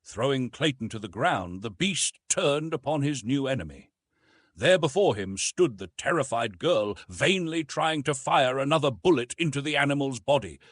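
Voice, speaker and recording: A man narrates a classic adventure story in a husky voice. His pitch moves widely as he tells it with measured drama. The sound is dry and close, with very little room around it. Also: lively intonation, a bit bright, no echo. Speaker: audiobook narrator.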